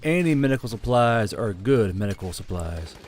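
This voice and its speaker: A man's voice: lowish voice, lively intonation, normal speed, a little mellow. A man reading a dramatic war story as an audiobook narrator, in a scripted storytelling style.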